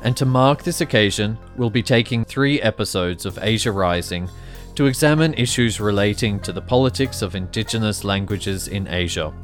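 A man hosting a podcast, giving formal scripted introductions and asking clear questions. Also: on the low side, moderate pace, faint music behind. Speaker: podcast host. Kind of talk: podcast interview.

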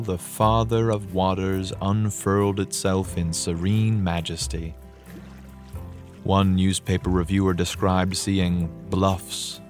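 Male actor, lowish register, for a measured dramatic book reading with light musical underscore. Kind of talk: dramatic audiobook-style reading.

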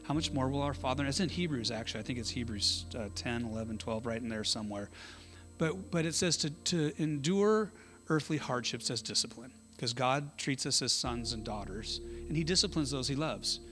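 A man's voice: mid-range voice, moderate pace, a bit bright, soft background music. A middle-aged man preaching an informal, conversational sermon as a pastor, with folksy, joking asides and self-interruptions. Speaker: pastor preaching at an evening church service.

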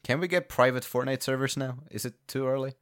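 A man's voice: mid-range voice, fast, fairly bright tone, dry recording, clean studio sound. Swedish-accented young man, rambling chat. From Sweden. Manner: rambling, joking, swears freely. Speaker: podcast co-host. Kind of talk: casual chat.

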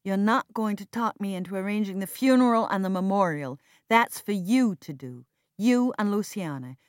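A woman's voice: mid-range voice, lively intonation, normal speed, no echo, studio quality, pitched above the performer's own voice, natural voice. A woman narrator playing an earnest, strong-willed and loyal woman in mourning, emphatic and reproachful, in an audiobook drama. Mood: firm, reproachful.

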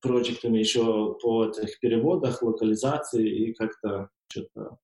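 A man's voice: lowish voice, normal speed, a little mellow, echoey room, low-quality recording. A man who works as a stand-up comic and screenwriter, chatting casually as a guest over a video call, hesitant, with lots of fillers and restarts. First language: not English.

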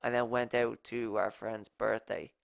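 Young Irish guy, nasal and gravelly, smooth tone, dry close mic; casual podcast host. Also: on the low side, flat delivery, measured pace, no room sound. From Ireland. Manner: rambling storytelling with fillers. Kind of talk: casual chat.

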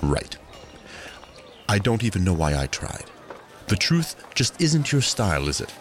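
An actor playing a stern, proud archangel warrior with a solemn, commanding manner in an audio drama. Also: male voice, fairly low pitch, very animated, measured pace, a little hiss or hum. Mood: defiant.

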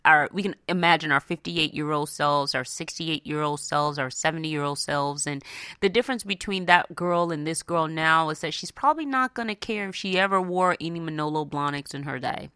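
A woman's voice: deep voice, fairly quick, pushed and bright, smooth, no room sound, clean studio sound. An American woman hosting a radio show, talking conversationally and directly to her listeners in a motivational style, often checking in with them. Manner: conversational, addresses listeners directly with frequent "right?" and "okay?". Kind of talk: motivational personal finance talk to listeners.

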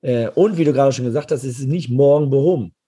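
A man with a hoarse voice talks easily in a casual podcast chat, agreeing often with his partner. His tone is dark and mellow, with a husky edge. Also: mid-range voice, quick pace. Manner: relaxed, agreeable, often affirming. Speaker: podcast co-host.

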